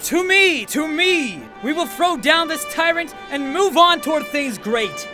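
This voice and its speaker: A man's voice: very high voice, animated, a little slow, music in the background. A man acting a crazed young would-be usurper in a comedic audio drama, with theatrical, mock-archaic speech. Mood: grand defiance.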